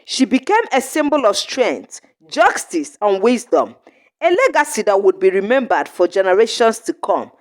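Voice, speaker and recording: A woman narrating a scripted folktale in short, measured phrases with an earnest storybook delivery. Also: medium pitch, very animated.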